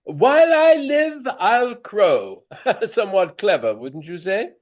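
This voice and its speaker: An actor playing a vain, elderly Regency stage actor with a pompous, florid, courtly manner in a radio play. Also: male voice, high-pitched, sing-song, slow pace, muffled recording, heavily acted. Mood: boastful, fond.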